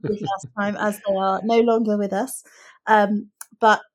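A woman's voice: mid-range voice, flat delivery, a little slow. A woman co-hosting a casual book chat, speaking in articulate, considered phrases. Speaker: podcast co-host.

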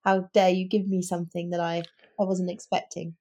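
A woman's voice: on the low side, little pitch movement, fairly quick, dark tone, breathy edge. A woman talking casually about books as a podcast host, earnest and opinionated. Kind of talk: casual book discussion.

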